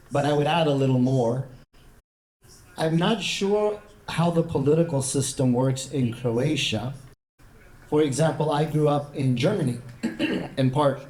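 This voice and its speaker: A man's voice: medium pitch, a little mellow. American man explaining in an echoey room. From United States. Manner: measured, explanatory, with some fillers. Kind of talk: public talk with discussion.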